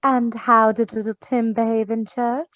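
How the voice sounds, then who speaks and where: A woman's voice: a little high, little pitch movement, a little slow, a bit bright, dry recording, dull audio. A woman playing an affectionate, fussing working-class mother in a radio play. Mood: bustling. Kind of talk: theatrical radio play acting.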